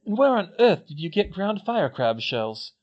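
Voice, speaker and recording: A man's voice: sits high, normal speed, voice pushed up. A man doing a cheeky teenage wizard twin. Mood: teasing, amazed. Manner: teasing, animated banter. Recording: dull lo-fi recording.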